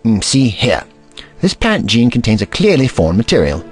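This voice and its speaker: A man playing an eccentric, brainy time traveller in an audio drama, kindly but testy, musing aloud in a wordy, gently pedantic manner. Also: medium pitch, wildly swooping pitch, moderate pace, slightly rough, faint music behind. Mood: puzzled, determined.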